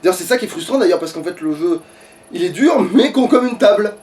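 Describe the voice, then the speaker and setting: A man's voice: sits high, lively intonation, quick pace, a little mellow. A man giving casual let's play commentary, thinking aloud in a grumbling, foul-mouthed way. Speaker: gaming streamer. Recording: distant mic with background noise.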